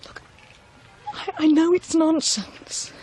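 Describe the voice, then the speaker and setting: High-voiced, anxious, haunted young wife. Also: female voice, fairly even intonation, slow pace, darkish tone. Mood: subdued, earnest. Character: anxious, haunted new wife, fearful yet defiant. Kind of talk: radio drama acting.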